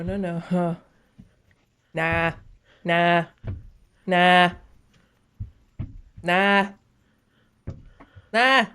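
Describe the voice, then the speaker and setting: A woman's voice: medium pitch, fairly bright tone. A woman reacting casually as a video host, with a playful, jokey, slangy way of talking.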